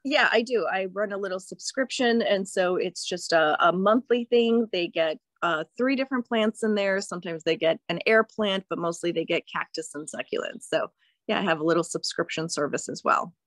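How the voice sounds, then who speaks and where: A woman's voice: medium pitch, normal speed, a bit bright, dry recording. Cheerful woman laughing between stories. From Croatia. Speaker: guest, plant seller. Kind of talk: casual interview chat.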